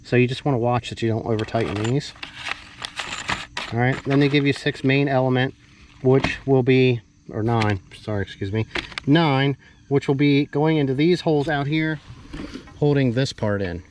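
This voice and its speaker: A man's voice: medium pitch, a little mellow. Man talking off the cuff, noisy handheld recording. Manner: halting, thinking aloud while handling parts. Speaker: hobbyist vlogger doing a product unboxing. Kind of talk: casual hands-on unboxing commentary, off the cuff. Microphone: handheld camera held while working, varying distance. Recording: noisy handheld camera audio, clear background noise.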